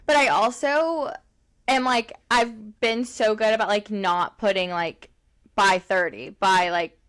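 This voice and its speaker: Twenties woman co-host, loose and chatty, leans on "like", laughs easily, medium pitch. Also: unhurried, a bit bright, smooth. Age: 20s. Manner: chatty, rambling, heavy use of "like", laughs often. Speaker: podcast co-host. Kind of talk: casual chat.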